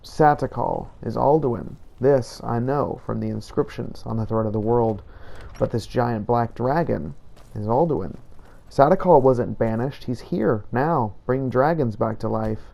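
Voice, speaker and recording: Guy doing in-character rp narration over his game, gravelly and kinda dark sounding. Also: mid-range voice, a little slow. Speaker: role-play gamer narrating his character. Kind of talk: in-character role-play narration.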